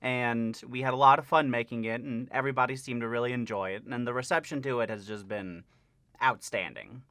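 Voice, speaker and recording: A man's voice: mid-range voice, fairly quick, a bit bright, dry recording, clean studio sound. A man talking casually as a channel host, explaining an update in a conversational way with stressed key words. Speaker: host of a parody dub channel giving an update.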